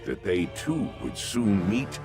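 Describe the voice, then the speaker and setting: An actor narrating a sci-fi fantasy game saga in a grave, deliberate, dramatic manner. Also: male voice, fairly low pitch, big pitch swings, music in the background.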